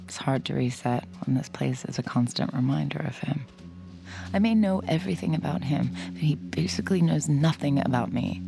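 Guarded young woman, audio drama, low-pitched, very creaky, sardonic, weary confessional delivery. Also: exaggerated voice.

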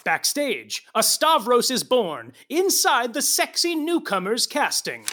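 A performer playing a theatrical, gossipy narrator of a comedy show, using a put-on announcer voice. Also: female voice, on the high side, very animated, slow pace, fairly bright tone, slight background noise, exaggerated voice. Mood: teasing.

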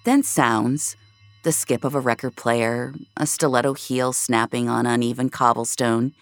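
Gravelly woman narrating dark fiction. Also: a bass voice, fairly even intonation, unhurried, no echo, faint music behind. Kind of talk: dramatic scripted fiction narration.

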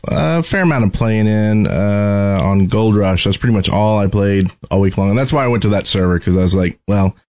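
Guy on a gaming podcast, slightly low voice, casual and kinda wry. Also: fairly quick, few pauses, a little mellow. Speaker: podcast co-host.

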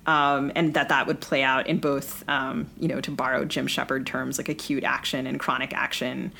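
A woman's voice: extremely low pitch, level tone, moderate pace, creaky, bright, forceful tone, slight background noise. A woman co-hosting a casual book podcast, speaking analytically in long, wordy thoughts. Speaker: podcast co-host and fiction writer. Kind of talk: casual literary discussion.

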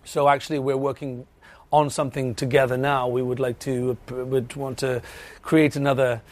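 Welsh man, big tenor voice, animated chatty guest with laughs and false starts. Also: mid-range voice. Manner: animated, laughs often, halting restarts. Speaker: actor guest. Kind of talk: podcast interview chat.

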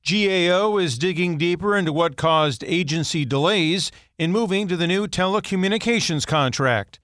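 A man's voice: sits high, normal speed, fairly bright tone, dry recording, studio quality. A man with an American accent reading scripted news as an anchor.

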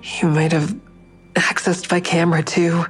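A woman's voice: on the low side, little pitch movement, unhurried, breathy edge, soft background music. A woman playing an anxious but determined young amateur sleuth in a naturalistic audio drama. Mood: uneasy, defensive. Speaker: lead character and first-person narrator.

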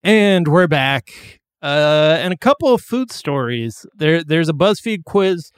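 A man's voice: fairly high pitch, animated, clean tone, no room sound, soft background music. A man in his forties co-hosting a podcast, chatting casually with a loose, profane, joking manner. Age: 40s. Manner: loose, profane, quick to joke. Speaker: podcast co-host. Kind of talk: casual comedic chat.

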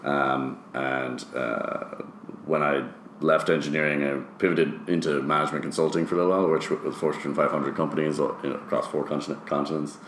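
A man with an extremely deep voice talks through his answers in an explanatory, conversational interview style. The room adds noticeable echo, and there is faint noise behind him. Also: little pitch movement, a little fast, fairly bright tone. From Ireland, later years in the US. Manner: explanatory, often checks with "right?". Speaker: interview guest, startup founder.

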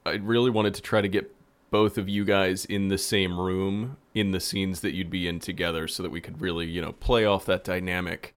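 A man's voice: low voice, level tone, moderate pace, clean tone. A man casually explaining things as a producer in a podcast conversation. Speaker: producer, director.

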